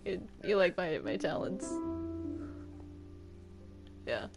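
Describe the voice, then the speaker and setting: Woman, casual gaming chat, slow pace, fry in the voice, hesitant and stammering. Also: fairly low pitch, big pitch swings, music in the background. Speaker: gamer in a Minecraft roleplay. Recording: noisy home game-chat recording.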